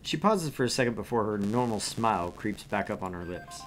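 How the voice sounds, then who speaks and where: A man's voice: lowish voice, expressive, brisk, slight background noise. A man co-hosting a gaming stream, reading a visual novel aloud with casual asides.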